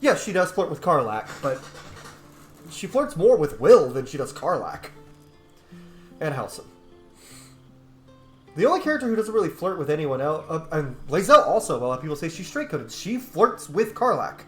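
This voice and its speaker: A man's voice: a little high, very animated, moderate pace, pauses often, a bit bright. Blunt male gamer in a roomy echo. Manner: blunt, opinionated, sweary. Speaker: gaming streamer. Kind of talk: casual gameplay commentary. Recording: roomy echo, game music behind. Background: game music.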